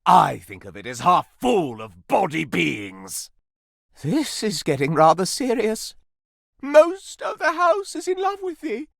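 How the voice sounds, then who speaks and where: A man's voice: high voice, gravelly, a bit bright, no room sound, clean studio sound, full character voice. A man voicing a flamboyant, prudish living flame in a video game, declaiming pompously and melodramatically in archaic, wordy phrases. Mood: aghast, overwrought. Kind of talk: video game character voice acting.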